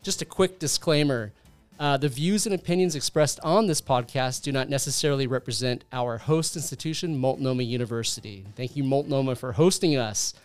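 A man's voice: mid-range voice, brisk, clean tone, faint music behind. A man hosting a faith-based podcast interview from a university studio, friendly and chatty, with fillers and easy laughter. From Southern California. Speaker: podcast host.

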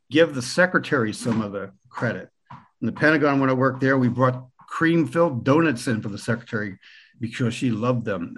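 A man in his sixties from Pennsylvania with an American accent, a guest on a podcast telling relaxed, rambling stories with the odd chuckle. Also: medium pitch, slightly rough, low-quality recording. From Pennsylvania, USA. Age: 60s. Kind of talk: relaxed conversational storytelling.

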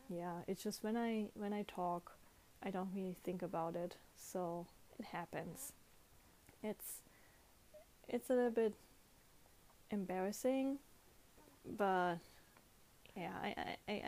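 German-accented woman, mellow chatty voice. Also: mid-range voice. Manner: chatty, self-correcting, with frequent restarts. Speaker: podcast host. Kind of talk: casual monologue.

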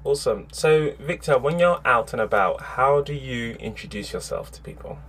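A man hosting a podcast, reading a lively intro and then interviewing a guest in a friendly, eager way. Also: mid-range voice, moderate pace, slight background noise. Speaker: podcast host.

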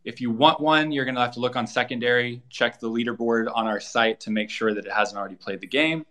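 Bright-voiced man explaining casually. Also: mid-range voice, fast. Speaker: guest, co-creator of an audio drama. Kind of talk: casual promotional interview talk.

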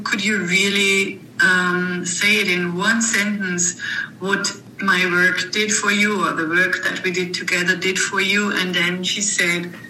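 A woman whose voice sounds very bright and clear, speaking slowly and in a flat, even tone. She answers interview questions thoughtfully and often restarts her sentences. The recording carries a noticeable room echo. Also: mid-range voice, a little hiss or hum. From Germany. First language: German. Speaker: guest, author and mentor.

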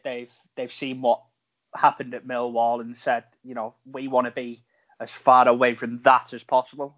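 A man's voice: mid-range voice, lo-fi sound. A man from Liverpool talking enthusiastically on a football podcast, with lots of "you know" fillers. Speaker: podcast panelist. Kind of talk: casual football podcast chat.

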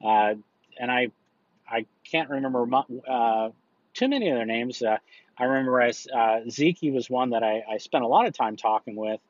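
A man in the middle of his range talks casually, as a podcast host recounting a trip. He rambles, restarts sentences, and fills pauses with "uh". The recording sounds dry and a little dull. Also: medium pitch, no echo. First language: English. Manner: rambling, frequent fillers and restarts. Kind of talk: casual monologue.

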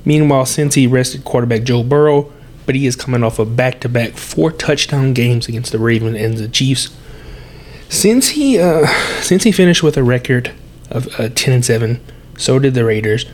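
A man's voice: mid-range voice, expressive, normal speed, a little mellow. A young man with a mid-range voice talks his way through a solo sports podcast, stuffed up and scratchy from a sore throat. He breathes heavily and keeps restarting his sentences in a loose, chatty way. A faint hiss of background noise sits under the recording. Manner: chatty, self-correcting, heavy breathing. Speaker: podcast host.

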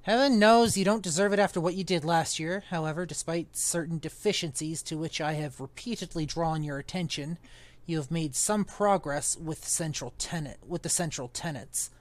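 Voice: mid-range voice, moderate pace, dry recording, close to an everyday voice. A reader voicing a pompous, grumpy older male magician who blusters disdainfully. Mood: lecturing.

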